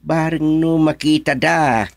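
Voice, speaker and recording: An actor playing a hesitant, easily amused village man with an Ilocano accent, talking haltingly in a radio comedy. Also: fairly high pitch, fairly even intonation, creaky, dry recording, clean studio sound. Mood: gossiping. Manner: halting, full of interjections. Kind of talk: comedic radio drama acting.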